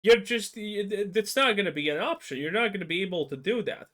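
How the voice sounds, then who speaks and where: A man giving unscripted, blunt and critical reaction commentary on games, haltingly and with repeated words. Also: high voice, expressive, pushed and bright. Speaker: video commentator reacting to another creator's video.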